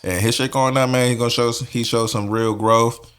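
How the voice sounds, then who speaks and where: A man's voice: medium pitch, fairly even intonation, normal speed, a bit bright, clear, resonant tone. A man talking casually as a podcast guest, laid-back, with heavy slang and swearing. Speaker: podcast guest co-host, music producer and engineer.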